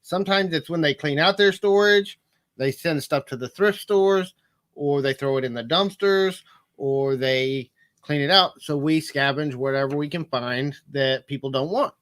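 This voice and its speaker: A man's voice: mid-range voice, a bit bright. A man, co-hosting a live chat in a joking, easygoing way. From Arkansas. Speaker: co-host.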